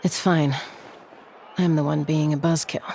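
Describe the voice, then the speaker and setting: Proud young man, higher-pitched, gravelly creak, wry, guarded, faint noise, video game. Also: little pitch movement, unhurried, a little mellow, rough recording. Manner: wry, matter-of-fact.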